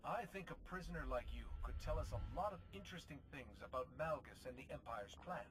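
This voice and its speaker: An actor portrays a calm, resolute Jedi hero in a sci-fi game. He is a man with a fairly high voice and expressive pitch movement. His manner is firm and formal. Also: quick pace, over music, close to an everyday voice, low-quality recording. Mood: wry, firm. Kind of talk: video game cutscene acting.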